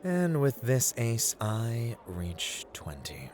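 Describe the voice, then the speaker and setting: Guy voicing a smug god of luck dealing cards, asmr roleplay, slow and teasing, hushed bits, music behind. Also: medium pitch, wildly swooping pitch. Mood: smug, curious. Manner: theatrical, teasing, deliberate, dropping into hushed murmurs. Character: playful, taunting male god of luck, smooth-talking card dealer.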